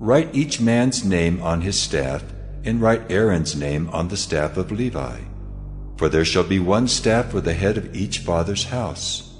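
A vast, cavernous echo carries the very deep voice of God. He gives orders in solemn, measured phrases, as in an audio scripture drama. Music plays behind him. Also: lively intonation. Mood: solemn, commanding. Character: God, solemn commanding ageless male deity.

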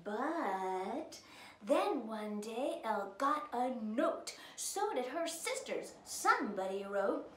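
A woman reads a children's story aloud with theatrical flair. Her voice is high and swooping. She is recorded in a roomy space at home, expressive in every phrase. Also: slow pace. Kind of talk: dramatic picture-book read-aloud. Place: living room by a fireplace. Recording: roomy, slightly distant, faint noise.

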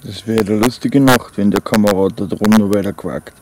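A man's voice: on the low side, normal speed, noisy background. A man, talking casually to camera and his dog while camping in a forest, rambling with many fillers. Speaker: outdoor vlogger. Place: forest camp at night, outdoors.

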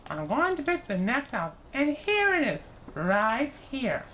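A woman's voice: high-pitched, very animated, a little slow, pushed and bright, a little hiss or hum, pitched above the performer's own voice, heavily acted. A woman voicing a bossy, fussy but contented mother bird from a storybook, emphatic and sing-song.

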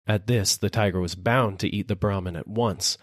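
A man narrating a children's fable as an expressive audiobook reader. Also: lowish voice, normal speed, no room sound, clean studio sound. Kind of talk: expressive scripted storybook narration.

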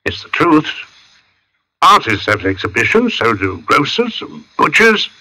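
Friendly stonemason, folksy talker, very bright forceful tone, animated pitch, old radio drama. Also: male voice, unhurried, full character voice, poor audio. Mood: chatty, matter-of-fact. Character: amiable, chatty working-class stonemason, huge and sweating. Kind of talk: 1940s radio drama acting.

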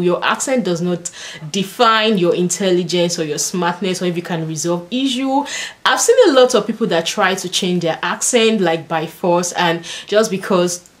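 A young woman with a Nigerian accent, talking casually to camera in a small bedroom, chatty and animated, with lots of "like" and "and stuff.". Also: fairly low pitch. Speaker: vlogger.

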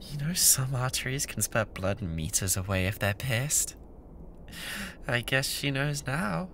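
A man's voice: mid-range voice, expressive, fairly bright tone, a little hiss or hum. A British man playing an obsessive, unhinged young yandere classmate in an intimate, menacing ASMR roleplay. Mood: gloating, sinister. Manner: menacing, intimate, slow and theatrical.

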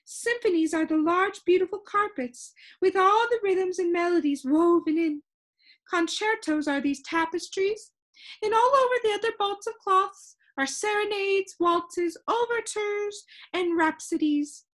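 A very high-pitched, bossy storybook lady, as a woman reader acts her out. She is fussy and theatrical, and her commanding voice swoops widely from word to word. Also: big pitch swings, moderate pace. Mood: proud explaining. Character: bossy, fussy, imperious woman keeper of sounds. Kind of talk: children's storybook character voice.

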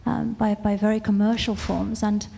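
A woman's voice: mid-range voice, little pitch movement, normal speed, vocal fry, warm tone, slight background noise. A woman from South Africa, an audience member speaking earnestly into a handheld mic in a large auditorium. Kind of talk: audience question. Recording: long hall reverb.

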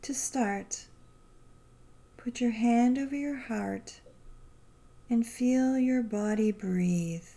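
A woman guiding a meditation in a gentle, soothing, unhurried way, leaving long pauses between phrases. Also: fairly high pitch, vocal fry. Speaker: meditation guide. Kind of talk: guided meditation narration.

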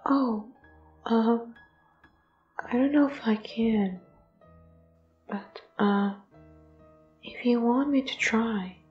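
A woman voicing a shy, anxious, apologetic ghost in a fan audio drama, soft and breathy, halting and stammering. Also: fairly high pitch, echoey room, muffled recording, faint music behind. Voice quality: soft and breathy, often whispery.

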